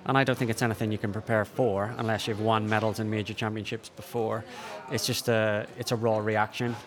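A man, a sports psychologist, explaining calmly and in measured terms as a radio guest. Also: lowish voice.

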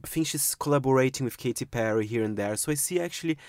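A man presenting a music chart countdown on a radio panel, chatty and quick to laugh, with lots of fillers. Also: mid-range voice, dry recording. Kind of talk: casual radio panel chat. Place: radio studio.

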